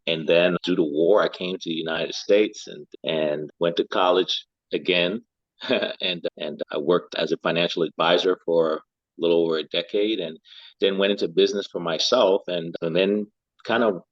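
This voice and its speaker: A man's voice: deep voice, no room sound, lo-fi sound. A middle-aged man from Liberia, a health and lifestyle coach, answering interview questions thoughtfully and often repeating words as he searches for them.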